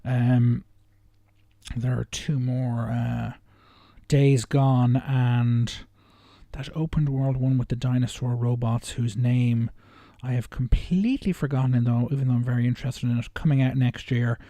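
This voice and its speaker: Man, mellow relaxed tone, dry sound, casual game reaction commentary, unscripted, hesitant, rambling, frequent rephrasing. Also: mid-range voice, unhurried, no room sound. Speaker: reaction video host.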